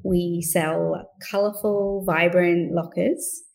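A woman's voice: on the low side, fairly even intonation, takes it slowly, gravelly, a little mellow. A woman in her thirties from London with a mixed London and Australian accent, chatting as an interview guest with frequent fillers and restarts. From London, England. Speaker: podcast guest, business co-founder. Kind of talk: casual interview conversation.

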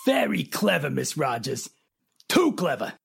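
A man's voice: a little high, sing-song, a little slow, creaky, breathy edge, full character voice. A man playing a defensive, menacing private detective in an old-time radio crime drama.

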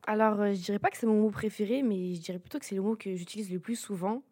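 A teenage girl, a high school student, speaking casually with many hesitations in a student podcast. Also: steady tone, fast talker, mellow. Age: teens. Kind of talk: recorded podcast testimony.

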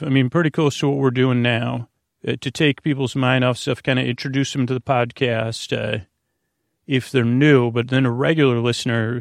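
A man with a creaky voice, improvising a meandering, halting bedtime podcast monologue full of asides and self-interruptions. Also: mid-range voice, no echo, clean studio sound. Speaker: podcast host.

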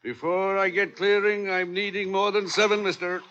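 An actor playing a blustering middle-aged ship captain and jealous husband, speaking in a bluff, coaxing way with rough grammar, in 1950s radio drama style. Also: male voice, high-pitched, flat delivery, normal speed, fairly bright tone, muffled recording.

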